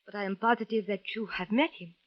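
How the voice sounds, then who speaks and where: A woman's voice: medium pitch, steady tone, quick pace, natural voice. An actress playing a polite, earnest Syrian woman in an old radio drama, speaking in formal, precise phrases. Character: polite, earnest, worried Syrian wife seeking her missing husband. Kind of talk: 1940s-50s radio drama acting. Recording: dull old radio recording.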